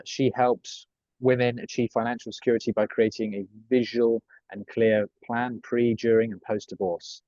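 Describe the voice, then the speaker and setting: A man's voice: medium pitch, darkish tone, no room sound. Young male coach, loose and jokey, swears a lot; dry, muffled sound. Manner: chatty, swears freely, jokey asides. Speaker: personal branding coach. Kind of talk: casual group coaching talk.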